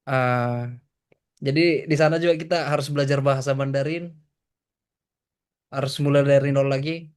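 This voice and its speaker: Male podcast guest, twenties. Medium pitch, little melodic movement, casual and slightly hesitant. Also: steady tone, normal speed, pauses often, darkish tone, clean tone. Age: 20s. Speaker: podcast guest, tour guide. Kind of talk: casual interview answers.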